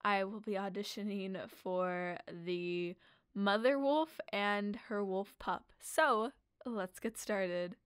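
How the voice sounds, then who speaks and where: A woman's voice: mid-range voice, slow, clean tone, no room sound, clean studio sound. A woman speaking casually as a voice actor introducing and signing off an audition.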